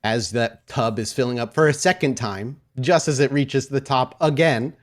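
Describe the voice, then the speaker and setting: A man narrating a tabletop roleplaying game as game master, setting scenes descriptively and somewhat haltingly. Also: mid-range voice.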